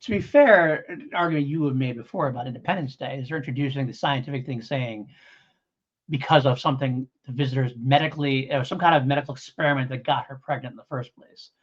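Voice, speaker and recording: Male review co-host: conversational, meandering explainer, agreeable, average pitch, somewhat muffled recording. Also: quick pace. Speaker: podcast co-host. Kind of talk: casual audio drama review.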